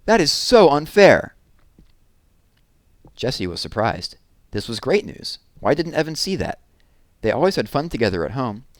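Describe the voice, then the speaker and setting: A man narrating a children's book aloud with expressive storytelling. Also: mid-range voice, normal speed, no room sound.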